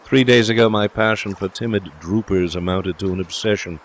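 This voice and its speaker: A man voicing a brash, talkative young gentleman with a complacent, pompously philosophical manner, in a storybook reading. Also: fairly low pitch, fairly even intonation, a little fast, slight background noise, natural voice. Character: brash, self-assured young gentleman philosopher, cheerfully smitten.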